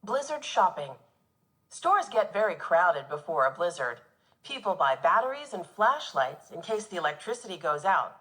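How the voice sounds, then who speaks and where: A woman teacher reading a nonfiction children's book aloud in an expressive, instructive way for young pupils. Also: fairly low pitch, moderate pace.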